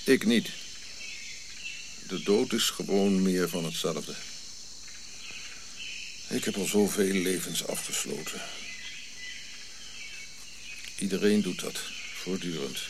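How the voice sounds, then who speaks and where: Deep-voiced, weary old prince. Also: male voice, background noise. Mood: resigned, reflective. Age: older. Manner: measured, reflective, solemn. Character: dignified, weary old Ashanti prince in exile. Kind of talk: radio play acting.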